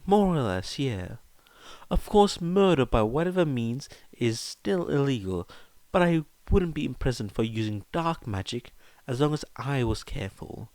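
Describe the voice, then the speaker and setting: A man's voice: mid-range voice, sing-song, a little slow, a little mellow, no room sound, pitched above the performer's own voice. A man voicing a clever, earnest teenage witch who argues matter-of-factly in an audiobook story.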